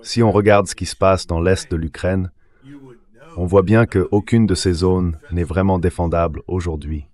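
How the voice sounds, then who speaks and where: A man's voice: low voice, steady tone, moderate pace, a little mellow, close to an everyday voice. A man's synthetic dubbing voice, speaking as a guest giving translated interview commentary.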